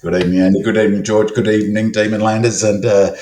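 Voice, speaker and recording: A hoarse Australian man with a low, flat voice talks through a football podcast as its stats analyst. He rambles, fills gaps with ums and keeps restarting his sentences. The room around him sounds echoey. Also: fast talker. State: hoarse, croaky voice. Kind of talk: casual podcast chat.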